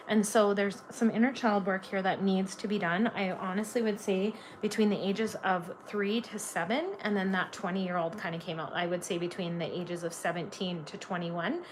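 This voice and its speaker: A woman's voice: mid-range voice, slight background noise. A woman from Canada giving a live tarot reading, chatty and gushing, full of "like" fillers. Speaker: tarot reader.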